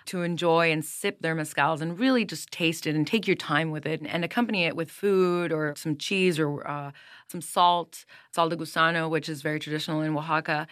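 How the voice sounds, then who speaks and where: Low-voiced woman explaining her mezcal. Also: steady tone, fairly bright tone, dry recording, studio quality. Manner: measured, explanatory, with small repeated restarts. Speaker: mezcal brand owner. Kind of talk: casual interview answers.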